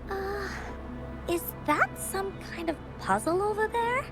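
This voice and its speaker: Timid, tiny, very high-voiced child. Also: female voice, animated, unhurried, over music, full character voice. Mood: curious. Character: timid, sweet small child, easily scared. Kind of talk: anime-style video game voice acting.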